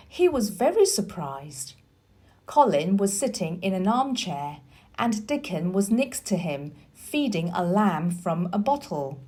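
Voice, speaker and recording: Slow, expressive woman reading a story. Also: mid-range voice. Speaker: storyteller and teacher. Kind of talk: scripted storybook narration.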